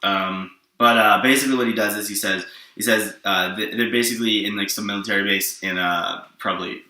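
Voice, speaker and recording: A man's voice: on the low side, steady tone, fairly quick, pushed and bright. A man rambling through a casual, improvised story explanation, often checking that his listener follows. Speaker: storyteller presenting his own superhero story. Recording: roomy echo, distant from the mic.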